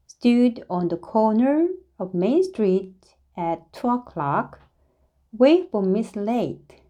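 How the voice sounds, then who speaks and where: A woman reading a children's story aloud in an expressive, deliberate way, phrasing it dramatically for young listeners. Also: mid-range voice, lo-fi sound. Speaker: storybook reader.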